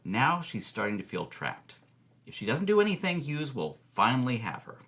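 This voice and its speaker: A man's voice: medium pitch, huge pitch swings, normal speed, a bit bright. A man playing a gossipy film-history expert, telling scandalous stories theatrically as a podcast guest and answering his own questions. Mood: dramatic. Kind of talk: animated storytelling in a podcast interview.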